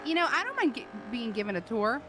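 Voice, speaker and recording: Woman, chatty game role-player, very lively intonation, clear car noise behind the voice. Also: on the high side, fast talker. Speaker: role-play gamer voicing a car passenger. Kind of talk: improvised in-character casual chat.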